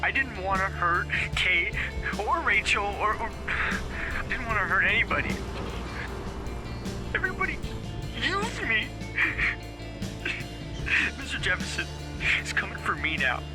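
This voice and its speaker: An actor playing a frightened, remorseful young man, tearful and halting, in a game's voicemail message. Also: high voice, big pitch swings. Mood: fearful remorse. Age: young adult. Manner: halting, broken delivery. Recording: voicemail message with music over it.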